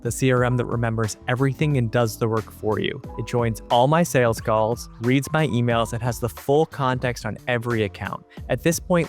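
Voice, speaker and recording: A man co-hosting a business podcast in a conversational, plain-spoken style. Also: mid-range voice. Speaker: podcast co-host, newsletter writer. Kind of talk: casual business podcast talk.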